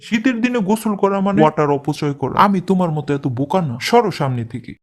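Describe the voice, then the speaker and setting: A man's voice: high-pitched, lively intonation, fairly quick, background noise. A man playing a smug, stubborn, pompous husband in a comic cartoon. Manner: pompous, contrary, mixes in English words.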